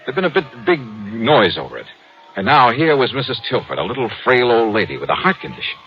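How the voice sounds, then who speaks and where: An actor playing a shrewd, suspicious insurance investigator in a 1950s radio drama, narrating and questioning in a clipped, hard-boiled way. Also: male voice, medium pitch, big pitch swings, a little fast. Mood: brooding. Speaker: investigator and first-person narrator. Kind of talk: 1950s radio detective acting. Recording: dull old radio recording, faint music.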